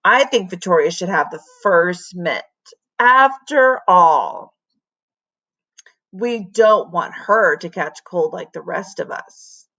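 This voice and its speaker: A male grade-school teacher from a storybook as a woman narrator reads him. He is calm and firm, with measured, slow delivery and lively intonation. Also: on the low side, full character voice, low-quality recording. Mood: cheerful, sly. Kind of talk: storybook read aloud.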